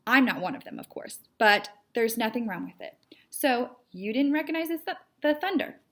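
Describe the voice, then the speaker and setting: A stubborn female cat from a children's storybook, voiced by a woman reader. She speaks in a raised pitch, breezy and dismissive. She is entirely sure of herself and talks down to whoever is listening. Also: expressive, moderate pace, a bit bright. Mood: condescending. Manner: matter-of-fact, breezily dismissive. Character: Edith, stubborn, self-assured, condescending female cat. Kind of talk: children's storybook character read aloud.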